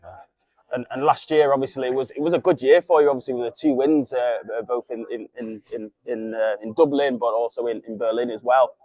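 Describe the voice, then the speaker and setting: Brief: fast male interviewer, dry close sound, stumbling over his words. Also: mid-range voice, steady tone, dark tone, no echo, poor audio. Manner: stumbling, repeats words while asking. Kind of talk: press conference questions.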